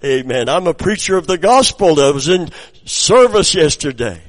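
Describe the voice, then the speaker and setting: A middle-aged man preaching a sermon, his voice raspy from preaching, moving between quiet storytelling and loud exclamations. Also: fairly high pitch, very animated, normal speed. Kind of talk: sermon with personal anecdotes.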